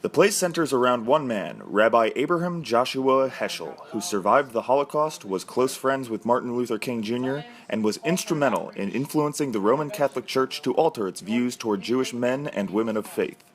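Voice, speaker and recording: A man's voice: mid-range voice, lively intonation, moderate pace, few pauses. Male reporter reading scripted narration.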